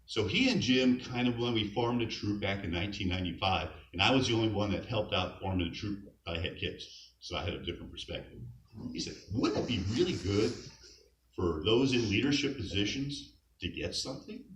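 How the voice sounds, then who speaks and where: A man's voice: fairly low pitch, brisk, fairly bright tone. Guy giving a eulogy, sounds far from the mic, faint hiss behind. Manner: earnest, anecdotal, occasional chuckles. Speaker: eulogy speaker, scout leader. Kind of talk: funeral eulogy. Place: church or funeral hall. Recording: echoey hall, mic at a distance, faint noise.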